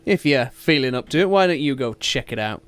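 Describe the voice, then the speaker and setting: A man voicing a gruff, dismissive male city guard who barks short orders. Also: mid-range voice, expressive, brisk, close to an everyday voice. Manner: curt, dismissive, bossy.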